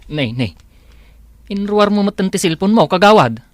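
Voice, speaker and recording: A man's voice: high-pitched, animated, moderate pace, no echo. A man playing a deferential village driver in an Ilocano comedy drama, with an Ilocano accent. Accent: Filipino, Ilocano. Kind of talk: acted village comedy dialogue.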